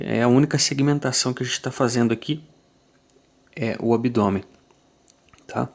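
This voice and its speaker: A Brazilian man calmly walking through a fly tying tutorial, checking in with listeners after each step. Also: medium pitch. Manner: calm, methodical, ends phrases with "tá?".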